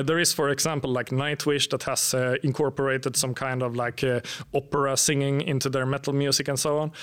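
A man's voice: mid-range voice, a bit bright. A man from Finland with a Nordic accent, chatting casually as an interview guest, easygoing and a little hesitant in his phrasing. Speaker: interview guest, game sound designer. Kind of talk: casual chat. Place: in person at a table, at a conference.

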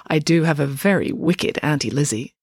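A woman's voice: low-pitched, moderate pace, a little mellow, husky, no echo, pitched below the performer's own voice. Storybook role: cool, well-to-do girl, smugly reasonable and articulate, voiced by a woman, cleanly dry recording. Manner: posh, precise, wordy. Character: posh, wry, unflappable girl who reasons coolly.

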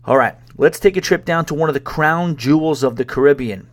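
A man's voice: on the high side, brisk. A man hosting a solo podcast, talking casually in a wry, sarcastic way with frequent restarts. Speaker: podcast host. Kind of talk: casual solo podcast talk about cruises.

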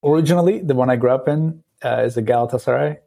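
A man in his late thirties from Turkey with a Turkish accent, a podcast guest talking thoughtfully and pausing often to search for words. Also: medium pitch, gravelly, dark tone. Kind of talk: casual interview talk.

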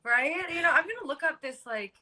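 A woman's voice: high voice, animated, a little fast, pushed and bright. A woman guest joining a podcast over a video call, chatting playfully and agreeing easily. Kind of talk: casual podcast chat.

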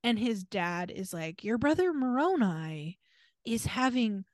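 A woman's voice: on the high side, very animated, unhurried, darkish tone, no echo, studio quality. A woman co-hosting a podcast, chatting casually through a film recap in a candid, opinionated, slangy way. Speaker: podcast co-host.

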